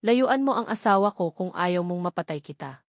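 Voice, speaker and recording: A woman voicing a hot-tempered, commanding billionaire husband with a forceful, demanding manner in a dramatised novel reading. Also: fairly low pitch, animated, normal speed, no room sound, studio quality. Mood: angry, jealous.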